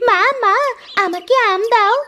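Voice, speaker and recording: A woman voicing a mistreated little motherless girl in an animated story, speaking in a plaintive, pleading way. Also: very high voice, unhurried, slight background noise, full character voice. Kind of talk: Bengali animated cartoon story acting.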